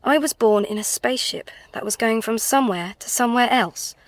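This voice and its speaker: An actress playing a surly, bored teenage daughter, petulant and scornful, in a radio comedy drama. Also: female voice, a little high, fairly quick, no room sound, close to an everyday voice. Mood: bitter.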